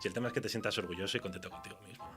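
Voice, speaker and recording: A man's voice: low voice, rapid-fire, fairly bright tone, faint music behind. A man with an accent from Spain chatting casually and informally as a guest writer in an interview.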